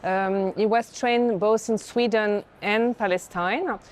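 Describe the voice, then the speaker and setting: A woman's voice: mid-range voice, fairly even intonation, slow. Female senior curator, middle register, reflective and conversational, recorded on location with faint background noise. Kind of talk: reflective conversational art commentary. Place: indoor art fair.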